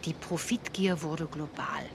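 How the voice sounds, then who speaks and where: A woman's voice: low-pitched, steady tone, measured pace, creaky, background noise. An actress playing a persistent, inquisitive female private detective in a radio drama. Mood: absorbed, reading. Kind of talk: radio drama dialogue and reading aloud.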